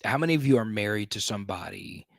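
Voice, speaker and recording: A man's voice: fairly low pitch, animated, quick pace, dry recording, clean studio sound. A man in his thirties hosting a sports podcast livestream, chatting casually as he reads viewer comments, laughing often and tripping over restarts. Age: 30s.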